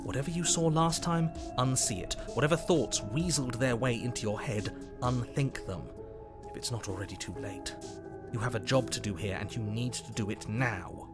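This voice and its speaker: A man acting as the stern, irritable narrator of a dark fairytale horror game, speaking in a deliberate, literary way. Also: mid-range voice, over loud music, close to an everyday voice. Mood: irritated. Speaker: narrator of a horror visual novel. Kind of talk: scripted narration.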